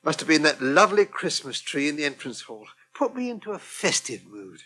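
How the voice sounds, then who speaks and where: A man's voice: on the high side, sing-song, brisk, bright, forceful tone. An actor playing a cheerful, thoughtful older English man in a radio soap, chirpy and genteel with a solicitous manner. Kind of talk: British radio soap acting.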